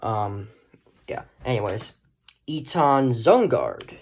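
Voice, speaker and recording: A man's voice: mid-range voice, big pitch swings, slow. A man giving a casual, unscripted show-and-tell, rambling and often trailing off into short asides. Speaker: hobbyist video host.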